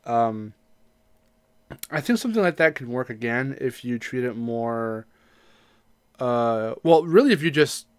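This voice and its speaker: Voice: medium pitch, lively intonation, unhurried, pauses often, smooth, dry recording. A podcast co-host chatting casually about games in a rambling, joking way, with some swearing. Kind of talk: casual gaming podcast chat.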